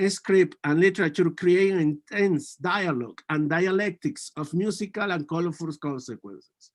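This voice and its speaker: A man in his forties with a Peruvian Spanish accent speaks in a noticeably high voice. He gives an earnest conference talk and often stops to correct a word mid-sentence. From Peru. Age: 40s. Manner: earnest, with frequent restarts and self-corrections. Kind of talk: prepared academic talk.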